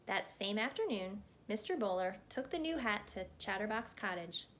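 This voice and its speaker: A woman reading a children's picture book aloud as a storyteller, in an expressive narrating style. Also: mid-range voice, moderate pace, slight background noise.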